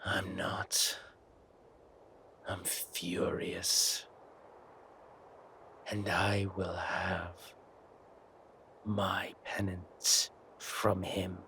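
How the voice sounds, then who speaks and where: A man playing a villainous king in an audio roleplay, silky and amorous yet quietly furious, with deliberate, mock-polite speech. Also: low voice, little pitch movement, creaky, in a whisper, full character voice. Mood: coldly angry.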